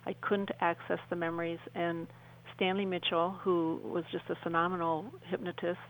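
A woman speaking as a guest on a radio show, telling her personal story in an earnest, halting way with repeated phrases. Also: fairly low pitch, flat delivery, slight background noise, low-quality recording. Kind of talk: personal account in an interview.

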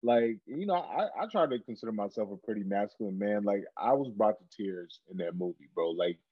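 A man's voice: fairly low pitch, animated, a little fast, dry recording, dull audio. Earnest man from Cameroon on a podcast. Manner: earnest, repeats words while building a point. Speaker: podcast co-host. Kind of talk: casual podcast chat.

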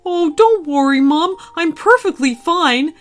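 A woman voicing a cheerful, playful little boy dinosaur in a storybook reading, talking in an excitable, bubbly way. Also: very high-pitched, a little slow, faint music behind, pitched above the performer's own voice. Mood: earnest. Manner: bubbly, excitable, stammers when upset.

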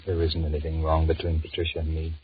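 Very deep-voiced panicky businessman. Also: completely flat delivery, fast talker. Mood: brooding, indignant. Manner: stammering aloud, muttering anxious repeated thoughts. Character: panicky married businessman, blustering and cowardly, secretly scheming. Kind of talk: 1940s radio horror acting with inner monologue. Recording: muffled, noisy 1940s radio recording.

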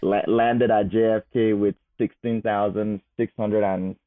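A man in his thirties, a guest telling his life story in a casual, animated way. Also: fairly low pitch, a little slow. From Nigeria. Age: 30s. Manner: animated, repeats words as he goes. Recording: phone-like narrow sound.

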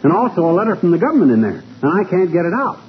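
Excitable, high-pitched blustery husband. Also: male voice, racing. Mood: grumbling. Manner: folksy, excitable, slangy. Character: blustery, scheming, folksy husband. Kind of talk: 1940s-50s radio comedy acting. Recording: muffled, noisy old radio recording.

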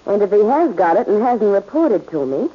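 A woman's voice: mid-range voice, very animated, moderate pace, husky. An actress playing a tough, defiant female crime boss in 1940s radio style, curt and hard-edged. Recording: muffled, noisy old radio recording.

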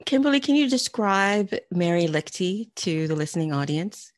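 Female host, deep-pitched for a woman, relaxed interview questioning, no room echo. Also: normal speed. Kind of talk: conversational interview.

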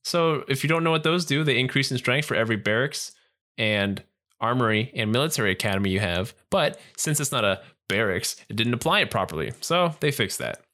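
A man co-hosting a casual gaming podcast, animated and joking as he goes through patch notes. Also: mid-range voice, fairly quick. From Canada. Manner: animated, joking, self-mocking. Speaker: podcast co-host. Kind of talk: casual chat about game patch notes.

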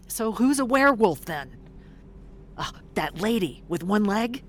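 An actress playing a blunt, skeptical, streetwise bus passenger in an audio drama, speaking colloquially. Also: female voice, medium pitch, animated, measured pace, natural voice. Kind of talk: audio drama acting, conversational. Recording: faint background ambience noise.

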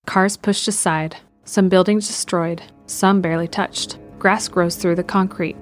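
A woman reading scripted narration for an audio drama in a measured, descriptive way. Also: on the low side, steady tone, faint music behind.

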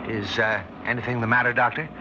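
Actor as haunted scientist in dull radio drama. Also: male voice, mid-range voice, level tone, noisy background, lightly acted. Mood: cautious. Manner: tense, deliberate, dramatic pauses. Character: haunted younger male scientist recounting a terrifying memory. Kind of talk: 1960s radio drama acting, first-person narration. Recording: dull 1960s radio recording, loud dramatic music.

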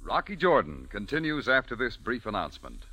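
A man announcing a program break in scripted radio style, smooth and formal. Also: medium pitch, wildly swooping pitch, fairly quick, over loud music. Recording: dry old radio broadcast.

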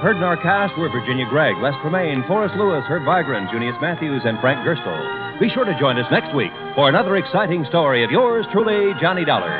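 A tinny vintage radio recording with loud music behind an American male announcer. His voice is fairly high and very lively in pitch, reading credits with polished, projected 1950s delivery. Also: fairly quick, hardly any pauses. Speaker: show announcer.

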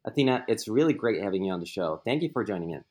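A man's voice: lowish voice, expressive, racing, darkish tone, husky. A young man hosting a casual podcast interview, asking loose questions with fillers. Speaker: podcast host.

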